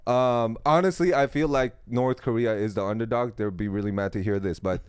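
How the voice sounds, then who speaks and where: A man's voice: lowish voice, a little fast, darkish tone, clear, resonant tone, dry recording, studio quality. A man who grew up speaking Mandarin, chatting casually and comedically as a stand-up guest.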